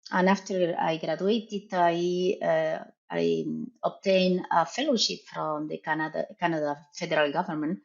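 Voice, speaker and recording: A middle-aged woman from Argentina with a Spanish accent, a veterinary professor explaining her research in a podcast interview, measured and pausing often. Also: lowish voice, fairly even intonation, creaky, muffled recording. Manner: measured, explanatory, with frequent "uh" pauses.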